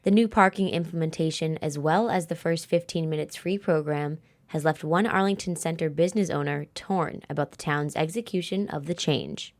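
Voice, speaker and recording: A woman's voice: sits low, normal speed, few pauses. An American woman narrating a scripted local news story as a reporter.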